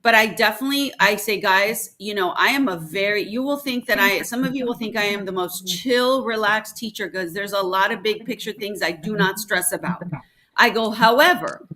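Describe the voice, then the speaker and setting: A woman's voice: mid-range voice, moderate pace, bright, forceful tone. A woman born in Mexico whose first language is Spanish, a French teacher chatting as a guest, assertive and full of classroom stories. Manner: assertive, storytelling, acts out classroom exchanges. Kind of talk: casual conversational interview.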